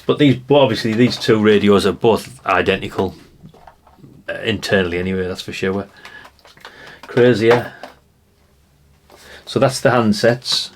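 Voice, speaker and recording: Male, middle-aged, British accent, slightly deep voice. Informal hobbyist vlogger, chatty and unscripted, meandering delivery. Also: measured pace, long pauses, slight background noise. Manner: rambling, chatty, addresses viewers informally. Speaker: vlogger showing vintage CB radios and catalogues. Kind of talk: casual unscripted show-and-tell chat.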